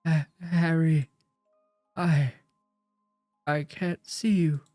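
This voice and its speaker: A streamer voicing a wounded, lonely male monster in a story game, pleading and bitter in a halting, stammering, drawn-out way. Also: mid-range voice, steady tone, darkish tone, no echo, studio quality, faint music behind, voice pushed up. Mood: pained, weak.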